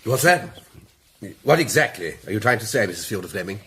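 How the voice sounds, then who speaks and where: An actor playing an indignant, pompous older titled gentleman in a dramatised mystery, affronted and clipped in his formality. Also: on the low side, big pitch swings, fairly quick, slight background noise.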